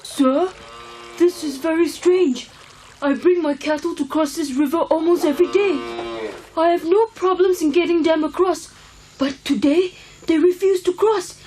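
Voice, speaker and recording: A woman voicing a respectful, curious young cowherd boy who questions earnestly in an animated film. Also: very high voice, normal speed, slight background noise, low-quality recording. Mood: puzzled.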